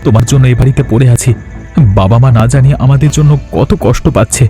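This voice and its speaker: A man's voice: medium pitch, fast, warm tone, soft background music, close to an everyday voice. A man voicing an honest, exasperated young husband in a cartoon, pleading and reproachful. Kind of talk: cartoon voice acting.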